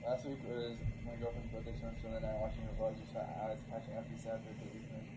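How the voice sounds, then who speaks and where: A man's voice: on the low side, completely flat delivery, quick pace. Upbeat man on a noisy stream clip. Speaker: livestreamer. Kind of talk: casual stream talk.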